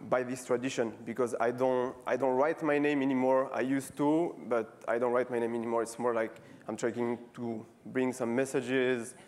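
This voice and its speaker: Casting note: man, 30s, French accent, relaxed stage talk full of fillers and restarts. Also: mid-range voice. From France. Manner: halting, many fillers and restarts; casual, friendly, repeating words. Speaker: street artist giving a talk. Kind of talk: conference talk. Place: stage before a live audience.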